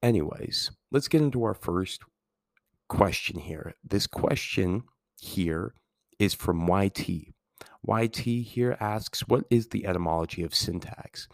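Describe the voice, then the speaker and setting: A man hosting his podcast as a teacher in a rambling, casual way, with a calming, soothing voice while getting over a cold. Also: low-pitched, measured pace, gravelly, dry recording, studio quality. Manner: rambling, many fillers and restarts. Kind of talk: casual solo podcast talk.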